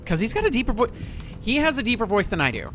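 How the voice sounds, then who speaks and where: A man's voice: very high voice, huge pitch swings, fast, a bit bright, faint music behind. Chatty, profane man streaming a game. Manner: laid-back. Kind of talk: casual gameplay commentary.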